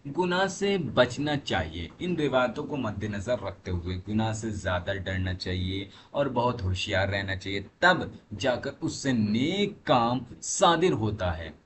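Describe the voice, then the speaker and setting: A man's voice: fairly low pitch, expressive, a little slow, hardly any pauses. A man giving a religious lesson, reading aloud and explaining in an emphatic, didactic manner as a teacher. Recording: clearly noisy recording with background ambience.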